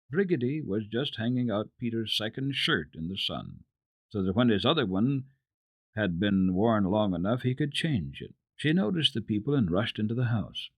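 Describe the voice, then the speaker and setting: A man giving an expressive, deliberate dramatic reading of children's literature as a radio storyteller. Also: fairly low pitch, no echo.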